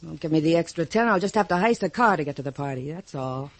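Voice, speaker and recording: An actress playing a brash, streetwise young New York moll, slangy and curt, in an old-time radio comedy. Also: female voice, low voice, expressive, fast. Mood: haggling, brash. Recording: vintage radio drama with music.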